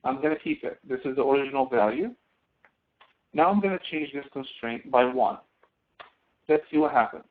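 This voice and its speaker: A man's voice: medium pitch, moderate pace, long pauses. A man instructing in a screen-recorded tutorial, explaining step by step and thinking aloud as he works. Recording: tinny phone-like narrow-band audio.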